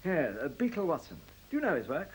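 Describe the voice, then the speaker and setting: A man's voice: fairly high pitch, huge pitch swings, fast, a little hiss or hum, low-quality recording. A man playing a polite, hesitant, officious director of a secretive computer plant in a radio play.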